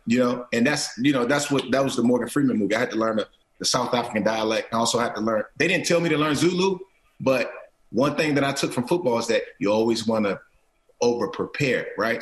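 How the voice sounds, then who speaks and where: A man's voice: mid-range voice, reverberant. A middle-aged man, an actor and producer, talking earnestly as an interview guest, restarting phrases and often checking in with "right?". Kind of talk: casual interview talk.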